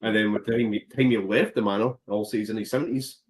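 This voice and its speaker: A man's voice: on the low side, steady tone, fast talker. A man, a paranormal investigator guest, explaining his theory in a thoughtful way and often saying "you know". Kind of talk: casual chat.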